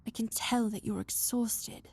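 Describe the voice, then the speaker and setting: A woman's voice: fairly high pitch, fairly even intonation, measured pace, a little mellow, husky, whispered. A woman voicing a composed young noblewoman, precise and dryly sarcastic but caring, in an audio drama. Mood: gentle.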